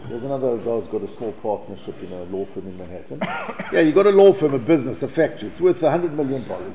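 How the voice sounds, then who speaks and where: A man from South Africa teaching a Torah class, reading Hebrew passages and explaining them in English, with frequent restarts and repeated words. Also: medium pitch. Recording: lo-fi, dull recording.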